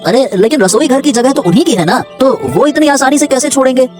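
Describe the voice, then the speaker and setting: A woman's voice: sits high, expressive, fast, a little mellow, faint music behind. A woman voicing an obsequious young male henchman in a Hindi moral-story cartoon. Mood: fawning.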